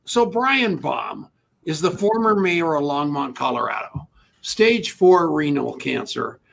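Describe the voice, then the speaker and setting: A man hosting a podcast, bantering and teasing his guest in a brisk, joking manner. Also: a little high, sing-song, gravelly, fairly bright tone. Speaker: podcast host.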